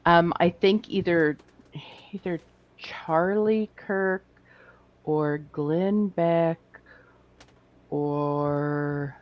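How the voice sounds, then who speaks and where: Chatty woman co-host, muffled mic. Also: lowish voice. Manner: chatty, quick interjections. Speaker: podcast co-host. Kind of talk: casual chat. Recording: slightly dull, muffled mic.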